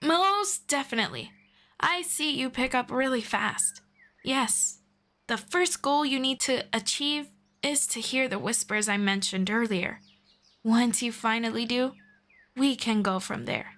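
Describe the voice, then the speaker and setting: A gentle, ageless elf woman, voiced by a woman in an ASMR roleplay, patient and kind as she teaches. Her voice sits a little high and unhurried, each phrase drawn out slowly. Now and then it sinks into a hushed whisper. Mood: gentle, soothing. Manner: soft-spoken, slow and patient, drifting into whispers. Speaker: voice actor.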